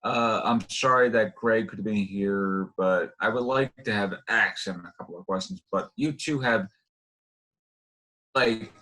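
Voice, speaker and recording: Halting young male interview host. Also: fairly low pitch, pushed and bright, rough recording. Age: young adult. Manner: halting, repetitive phrasing. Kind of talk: informal interview hosting.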